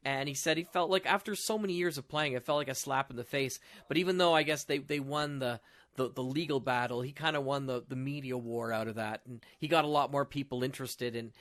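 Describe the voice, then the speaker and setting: A man's voice: mid-range voice, brisk, a bit bright. Earnest man chatting on a podcast. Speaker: podcast co-host. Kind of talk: casual podcast discussion.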